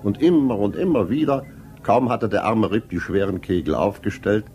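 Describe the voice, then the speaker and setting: An actor playing a storyteller who tells an old fairy tale in a radio drama, measured and dramatic. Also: male voice, on the low side, warm tone, lightly acted. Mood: storytelling.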